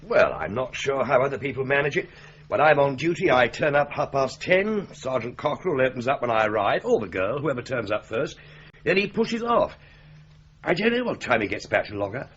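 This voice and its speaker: An actor playing a wry, offhand young clerk at a solicitors' firm in a radio drama. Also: male voice, medium pitch, sing-song, fairly quick, a bit bright, dull audio, slight background noise.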